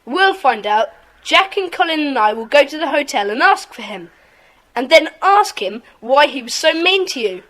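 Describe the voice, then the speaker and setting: A confident boy leading his club voiced by a woman in a children's audio play. The voice is high, forceful and swings in pitch as he eagerly rallies the others. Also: very animated, normal speed, low-quality recording. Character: decisive, encouraging schoolboy leader of a children's club. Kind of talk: children's audio drama acting.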